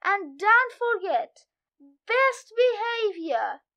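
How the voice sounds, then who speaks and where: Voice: very high voice, very animated, very slow, pushed and bright, full character voice. A storybook reader voicing a patient, slightly fussy father frog in a children's comic. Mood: instructing.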